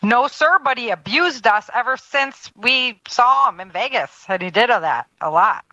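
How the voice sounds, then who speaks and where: Lady doing earnest wronged woman, radio play, bright voice, slightly muffled lo-fi recording. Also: on the high side, lively intonation, unhurried. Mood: earnest, protesting. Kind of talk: audio drama acting.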